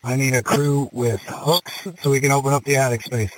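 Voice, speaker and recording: A man's voice: mid-range voice, fairly even intonation, normal speed, dry recording, low-quality recording. A man speaking as a firefighting officer, giving terse, businesslike reports over a two-way radio. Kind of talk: procedural fireground radio report.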